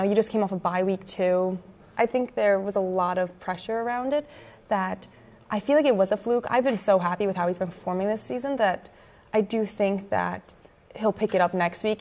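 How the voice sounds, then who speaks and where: A woman's voice: mid-range voice, steady tone, moderate pace, darkish tone. A young American woman co-hosting a student sports show with conversational commentary, recorded on a lapel mic. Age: young adult, early 20s. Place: indoor campus TV studio at a desk.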